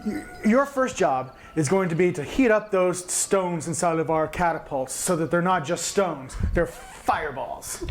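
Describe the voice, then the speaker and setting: A man playing a tabletop game, chatting casually and thinking aloud in a halting, colloquial way. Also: on the high side, expressive.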